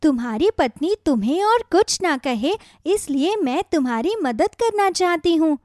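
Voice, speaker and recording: A woman acts out a helpful little magic radish from a storybook cartoon. She speaks high, with lively swoops, in a caring, reassuring manner. The recording is very dry. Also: moderate pace, no room sound. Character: kindly, helpful female magic talking radish. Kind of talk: children's moral story cartoon.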